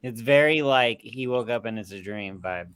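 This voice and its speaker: A man's voice: mid-range voice, normal speed, fairly bright tone, clean tone, no room sound, clean studio sound. A man chatting casually about a film with a wry, sarcastic way of talking.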